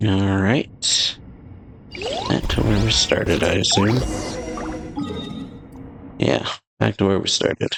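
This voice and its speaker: A man giving casual, low-key let's play commentary in brief, murmured reactions to a game. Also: slow, vocal fry, pauses often. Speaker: gaming streamer. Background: game music.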